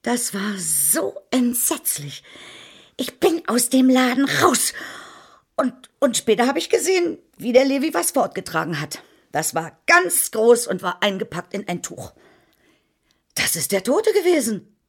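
Radio play role: older female busybody and accuser. Husky, very animated, dramatic, insistent. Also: fairly high pitch, exaggerated voice. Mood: dramatic, horrified. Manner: dramatic, insistent, halting testimony. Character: older female servant broker, spiteful, gossiping accuser. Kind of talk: German radio drama acting.

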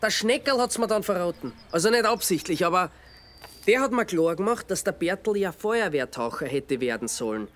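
An actor playing an earnest, insistent amateur detective in a radio play. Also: male voice, sits high, expressive, moderate pace, fairly bright tone. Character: amateur sleuth man, dogged and earnest.

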